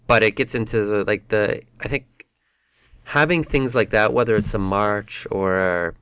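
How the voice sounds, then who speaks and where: A man with a lowish voice co-hosting a relaxed group chat. He wanders through his points and often restarts mid-thought. The sound is close and dry. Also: a little slow, clean tone, dry recording. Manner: rambling, restarts often, thinks aloud. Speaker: podcast co-host. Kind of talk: casual roundtable chat.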